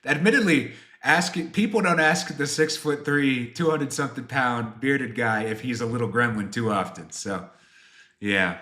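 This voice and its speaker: Bright-voiced young man streaming in an echoey room. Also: medium pitch, normal speed. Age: 20s. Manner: rambling, self-deprecating. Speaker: game developer hosting a livestream Q&A. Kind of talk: casual livestream chat answering viewers.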